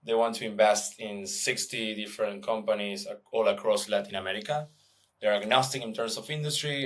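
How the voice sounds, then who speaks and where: Hesitant Argentine-born man, low voice. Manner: hesitant, many fillers and restarts. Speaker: guest, venture investor. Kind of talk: casual interview answers.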